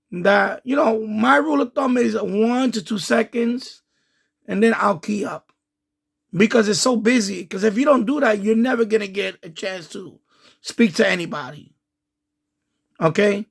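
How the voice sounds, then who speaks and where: A man's voice: sits high, a little slow, vocal fry, fairly bright tone, breathy edge. A man giving casual, rambling running commentary as an amateur radio video host, repeating himself as he explains.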